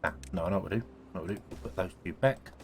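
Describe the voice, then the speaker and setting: Low-voiced man, croaky let's-play talk. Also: rapid-fire, a little hiss or hum. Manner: thinking aloud, rambling, self-correcting. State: croaky, sneezy voice. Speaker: gaming video host. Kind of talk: casual let's-play commentary.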